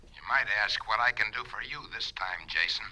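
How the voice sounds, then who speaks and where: A man's voice: lowish voice, expressive, brisk, bright, forceful tone, heavily acted, rough recording. An actor playing a bitter male former business partner in a 1970s radio drama, wary and then grimly resolved. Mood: tense.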